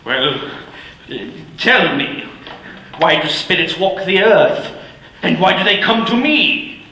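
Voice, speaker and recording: An actor playing a miserly, grumbling old moneylender in an old-time radio play, with a gruff, scolding way of talking. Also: male voice, high voice, expressive, takes it slowly, pushed and bright, full character voice. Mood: pleading. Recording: echoey hall with faint hiss.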